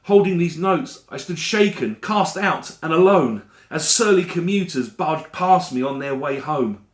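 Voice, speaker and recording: A man reads a dramatic rhyming monologue in a slightly high voice. His storytelling is theatrical and deliberate, and the room adds a clear echo around him. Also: expressive. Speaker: actor reading a monologue.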